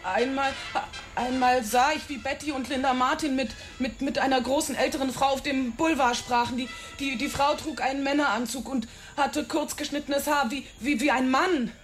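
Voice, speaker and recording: A woman's voice: sits high, steady tone, moderate pace, faint music behind, natural voice. An actress playing a nervous young woman questioned by police, stammering and repeating herself in a radio drama. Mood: hesitant.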